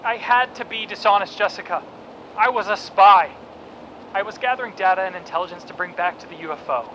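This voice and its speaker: A man's voice: high voice, brisk, sharp tone. An actor playing a desperate captive spy pleading urgently with his wife over a radio link in an audio drama. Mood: confessing, regretful. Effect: radio transmission. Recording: dull radio transmission, noisy ambience.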